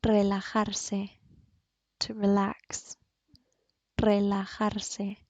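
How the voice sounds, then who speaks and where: Slow, careful woman with a Spanish accent. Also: mid-range voice, monotone, darkish tone, no echo. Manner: slow, clearly enunciated word drills. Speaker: Spanish teacher. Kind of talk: language lesson. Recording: dull, dry voice-over.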